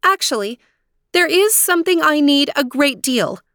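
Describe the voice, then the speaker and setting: Woman voicing a high-pitched, headstrong teenage storybook princess. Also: expressive, normal speed, a bit bright, no room sound, studio quality. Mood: eager, pleading. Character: sixteen-year-old princess, earnest, headstrong girl. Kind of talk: storybook character voice.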